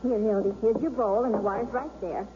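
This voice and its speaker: A woman's voice: a little high, fairly quick, lightly acted. A woman acting a caring wife who fusses over her husband in a 1970s radio drama. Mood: fussing. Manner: fussing, affectionate. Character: caring wife of a recovering man. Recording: dull vintage radio recording with hiss.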